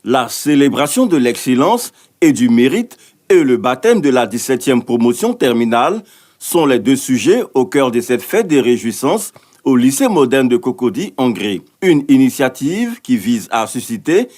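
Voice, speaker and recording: Lively male news reporter, swinging intonation. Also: medium pitch, normal speed. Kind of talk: scripted report narration.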